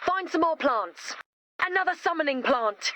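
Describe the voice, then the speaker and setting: A young video game heroine with a high voice. She is chatty and earnest, a romantic who gently bosses people around with a wry edge. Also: lively intonation, normal speed. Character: earnest, romantic, gently bossy young woman organizing monster wedding.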